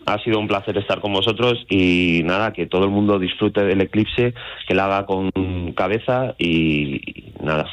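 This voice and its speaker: A man with a central Spanish accent is interviewed by phone as a guide. His voice sits fairly low, and the line is dull and lo-fi. He explains things step by step in an informal way. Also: moderate pace. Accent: central Spain. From Madrid, Spain. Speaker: astrotourism guide, phone guest.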